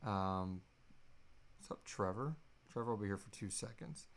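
A man's voice: lowish voice, normal speed, vocal fry. A man in his thirties hosting a casual live Q&A in an informal, easygoing and sweary way. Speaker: podcast host. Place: small indoor room at home.

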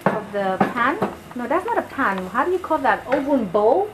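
Young female vlogger, highish voice, enthusiastic casual cooking commentary, kitchen noise behind. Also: sing-song, normal speed, rough recording. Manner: enthusiastic, chatty. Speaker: vlog host. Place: small home kitchen, indoors. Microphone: camera microphone. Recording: clear kitchen noise, camera mic. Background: kitchen cooking ambience.

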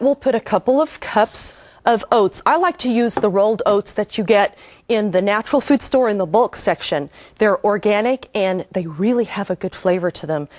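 A woman's voice: medium pitch, a little mellow, no room sound. Chatty woman hosting a cooking demo. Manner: homey, enthusiastic, chatty instructions. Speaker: cooking show host. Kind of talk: cooking demonstration.

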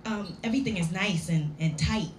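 A woman's voice: mid-range voice, normal speed, natural voice. A woman voicing a shy but eager woman awkwardly attempting seduction in a live story reading, hesitant and coy. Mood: awkwardly seductive. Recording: roomy live venue recording with background noise.

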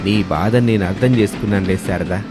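A man voicing a patient, reasoning middle-aged father-in-law in an animated moral story, gently chiding his wife. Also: on the low side, fairly quick, over music, close to an everyday voice.

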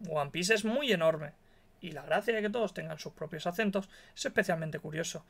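A young man sets out his view firmly, stacking up one point after another. His voice sits on the high side for a man. He talks into a headset from a dim room at home. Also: a little fast, creaky. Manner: emphatic, opinionated, building his case point by point. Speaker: co-host. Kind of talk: casual streamed chat.

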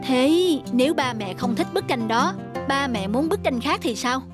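Gentle dad for an animated family series, patient and explanatory, music underneath. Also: male voice, extremely high pitch, normal speed. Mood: patient, earnest. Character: patient, gentle father teaching his small son. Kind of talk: cartoon dialogue.

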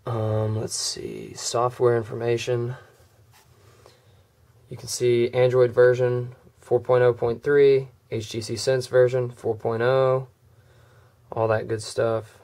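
Guy with a cold, kinda hoarse, chill phone demo, lots of ums. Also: mid-range voice, slow pace. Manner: relaxed, many "uh" and "um" fillers. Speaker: tech video creator. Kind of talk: casual screen demo walkthrough.

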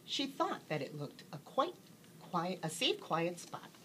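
A woman reading a children's bedtime story aloud as an expressive storyteller. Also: fairly low pitch, a little slow, a little hiss or hum.

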